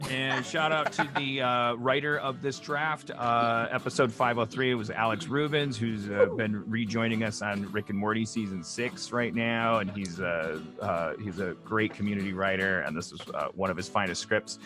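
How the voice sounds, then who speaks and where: A man's voice: on the low side, fairly even intonation, normal speed, few pauses, music in the background. A man hosting a virtual table read in a casual, wry, rambling way and reading out stage directions. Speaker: host introducing cast and reading stage directions.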